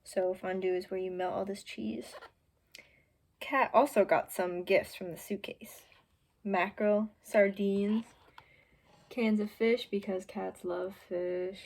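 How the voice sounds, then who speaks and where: A woman's voice: mid-range voice, fairly even intonation, slow pace, creaky, a little mellow. A young woman in her teens reading a children's picture book aloud, adding chatty, informal asides for young listeners. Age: teenager. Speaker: student volunteer reader.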